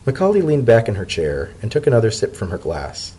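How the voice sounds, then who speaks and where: A man's voice: lowish voice, huge pitch swings, brisk, mellow, slightly rough. A man narrating a scripted story as a dramatic reading.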